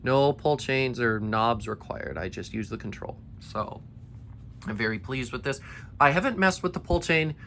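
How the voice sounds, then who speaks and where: A man's voice: mid-range voice, expressive, normal speed, pushed and bright, a little hiss or hum. A young man with a tired, slightly worn voice, chatting eagerly in a casual vlog update, rambling with restarts. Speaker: ceiling fan collector. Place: basement collection room.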